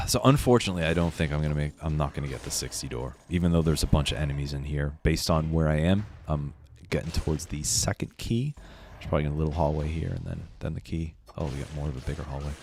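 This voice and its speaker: Very deep-voiced man streaming a game. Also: lively intonation, fast talker, gravelly, darkish tone, slight background noise. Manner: laid-back, chatty, lots of fillers. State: slightly hoarse and sniffly from a cold. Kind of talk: casual live-stream commentary. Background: faint game music.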